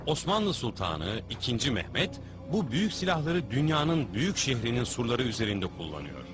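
A man's voice: medium pitch, sing-song, normal speed. A man reading scripted documentary narration. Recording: music bed under narration.

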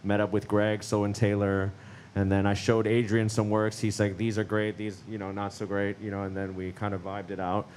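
A relaxed man chats on a gallery panel, his words loose and scattered with "you know". His voice leans low. A handheld mic catches faint room ambience around him. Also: moderate pace, a little hiss or hum. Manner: laid-back, lots of "you know". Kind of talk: casual panel chat. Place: large gallery, indoors.

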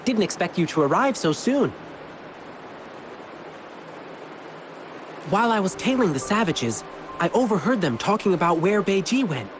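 A man voicing an energetic, earnest young adventurer in a video game. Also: sits high, a little fast, background noise. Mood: excited.

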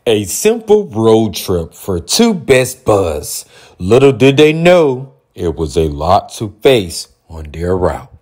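A man reading scripted radio drama narration in a slow storyteller manner. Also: lowish voice, very animated, darkish tone.

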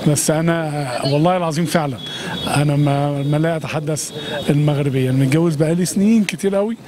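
A man's voice: fairly high pitch, very fast, a crowd in the background. A middle-aged Egyptian man with an Egyptian Arabic accent, talking emphatically and effusively in a street interview at night. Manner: effusive, emphatic, swears by God often. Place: city street at night, outdoors. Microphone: handheld reporter mic. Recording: outdoor street noise.

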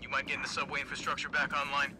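A man's voice: medium pitch, monotone, quick pace, background noise, close to an everyday voice. Actor playing a breezy, cocky male mercenary. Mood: breezy, guiding. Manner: breezy, teasing. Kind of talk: video game cutscene acting. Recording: game music behind.

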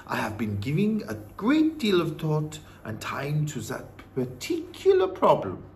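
Man voicing a hushed, slow old grandmother. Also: fairly high pitch, wildly swooping pitch, slight background noise, heavily acted. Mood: calmly knowing. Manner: measured, reassuring. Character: calm, wise old Norwegian grandmother, gently knowing.

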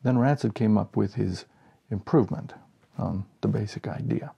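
An American man in his sixties reading a humorous short story aloud in an expressive storyteller's way. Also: on the low side, moderate pace, gravelly, husky. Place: small home office, indoors.

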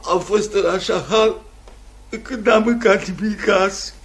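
An actor playing an exhausted, dejected middle-aged gentleman in a radio play. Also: high-pitched, fairly even intonation, slight background noise, close to an everyday voice. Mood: weary, defeated. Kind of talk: radio theatre acting.

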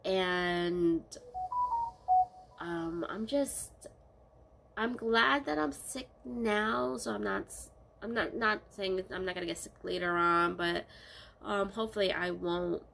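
A woman's voice: mid-range voice, slow pace, creaky, a little hiss or hum. Congested woman chatting to camera. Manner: rambling, many ums, relaxed diary talk. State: congested, stuffy-nosed from a cold. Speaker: vlogger. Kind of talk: casual vlog chat. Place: small bedroom, indoors.

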